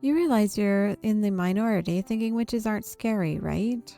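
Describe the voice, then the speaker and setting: Female game streamer, expressive story reader, casual commentary, music in the background. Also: mid-range voice, normal speed, gravelly. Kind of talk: reading visual novel text aloud with casual asides.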